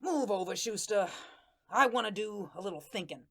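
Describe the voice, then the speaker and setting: A woman's voice: mid-range voice, lively intonation, normal speed, a bit bright. A woman playing a wistful, lovesick little male ghost who narrates a radio drama in folksy, rambling slang. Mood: wistful, musing.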